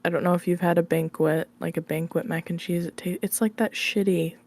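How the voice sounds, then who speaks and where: A woman's voice: lowish voice, steady tone, a little fast, darkish tone. A young adult woman chatting casually as an interview guest, giggly and informal, often hedging what she says. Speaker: podcast guest, audio roleplay creator.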